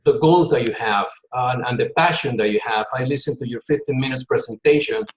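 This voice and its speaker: A man speaks earnestly on a video call, repeating himself as he presses a point. His voice sits at an everyday middle pitch. It bounces around an echoey room. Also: brisk. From Peru. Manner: earnest, repeats words when emphasizing. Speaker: volunteer consultant.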